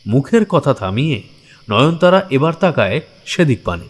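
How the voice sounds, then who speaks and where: Casting: male narrator for horror audio stories, medium pitch, dramatic and suspenseful delivery. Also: big pitch swings, normal speed, breathy edge. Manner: theatrical, suspenseful.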